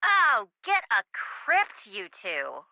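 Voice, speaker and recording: A woman's voice: a little high, wildly swooping pitch, takes it slowly, pushed and bright, no echo, full character voice, rough recording. An actress playing a sassy young woman, a wisecracking best friend in a video game, with a teasing, sarcastic manner. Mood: incredulous. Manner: teasing, sarcastic, dryly exaggerated.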